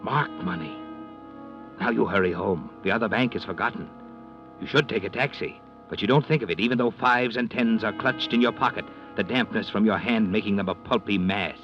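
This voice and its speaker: Music runs behind muffled vintage radio sound. A man performs a sardonic American mystery narrator in a low voice. He is deliberate and mocking, speaking as an ominous all-knowing storyteller. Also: lightly acted. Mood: ominous, suspenseful. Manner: mocking, deliberate, addresses characters as "you". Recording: dull lo-fi vintage radio recording.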